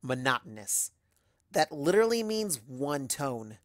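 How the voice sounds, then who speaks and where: A young female pony heroine, voiced by a story reader stands her ground in a dramatic tale. The delivery is earnest and determined, with deliberate, emphatic phrases at a medium pitch in a dry recording. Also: very animated, dry recording. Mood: defiant, resolute.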